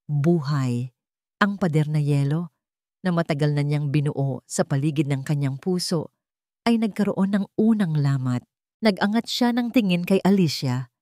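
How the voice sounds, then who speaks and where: A woman storyteller with a fairly low voice reads scripted romantic drama. She delivers it as dramatic narration. The sound is dry, with no room around the voice. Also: expressive, a little slow, dark tone, no echo.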